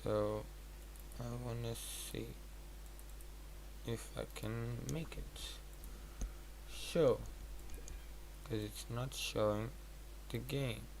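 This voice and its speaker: Male streamer, unhurried and gravelly, halting casual chat over clear background noise. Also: mid-range voice, little pitch movement, darkish tone. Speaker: livestreamer. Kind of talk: casual livestream talk to viewers.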